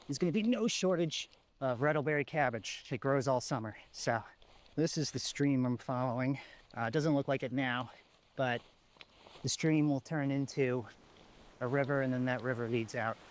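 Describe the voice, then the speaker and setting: A man's voice: medium pitch, natural voice. A man playing a haunted, reflective lone apocalypse survivor, confiding in a plain-spoken found-footage horror monologue. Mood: matter-of-fact.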